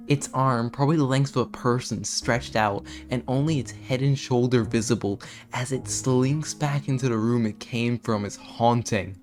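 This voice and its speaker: A man's voice: medium pitch, a little mellow. A man giving scripted commentary on a horror series in an emphatic, dramatic storytelling way. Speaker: video commentator. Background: background music.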